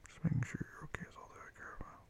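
A man softly whispering a tender, reassuring ASMR comfort roleplay. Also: very fast.

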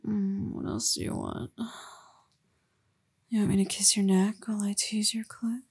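A woman murmurs in an intimate, whispered roleplay, her voice husky and airy with a gravelly edge. She takes her time, speaking slowly and softly. The recording is close and dry, with no room sound around her. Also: flat delivery, no room sound. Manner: soft breathy whispering, close to the listener; low murmured coaxing with sighs. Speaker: audio roleplay performer.

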